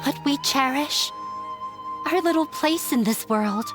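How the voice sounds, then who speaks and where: An actress voicing a young woman who ran away from a demanding father, speaking in a quiet, resigned way. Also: high-pitched, a little slow. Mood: bitter. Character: young woman, runaway daughter, hurt and weary. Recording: game audio with faint music.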